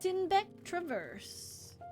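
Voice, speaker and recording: A woman streaming a video game live, thinking aloud in casual, chatty commentary that turns excitable. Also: very high voice, very slow, music in the background.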